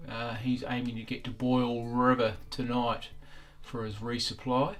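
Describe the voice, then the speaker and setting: A man's voice: mid-range voice, level tone, moderate pace, fairly bright tone. A man who bikepacks, talking casually in an interview about following an event. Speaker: bikepacker following friends in a race. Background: faint music.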